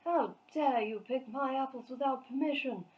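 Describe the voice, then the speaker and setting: A woman's voice: high voice, normal speed, highs cut off, pitched above the performer's own voice, lightly acted. A woman voicing a furious, grumpy male neighbour who bellows scoldingly in a children's story. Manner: indignant, scolding, shouted.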